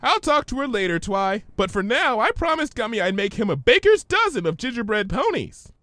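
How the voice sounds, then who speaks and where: A man's voice: extremely high pitch, very animated, fairly quick, pushed and bright, no echo, studio quality, pitched above the performer's own voice, full character voice. A man voicing a carefree, giggly young female cartoon pony in a breezy, chirpy, exclamatory way. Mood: breezy, playful.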